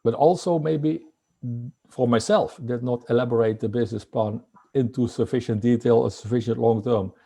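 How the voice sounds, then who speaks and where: A middle-aged man with a Dutch accent and a mellow, warm tone. He talks in a halting way, full of fillers and restarts, as a guest in an interview. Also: mid-range voice, gravelly. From Netherlands. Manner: hesitant, many fillers and restarts. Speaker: guest entrepreneur. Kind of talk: casual interview talk.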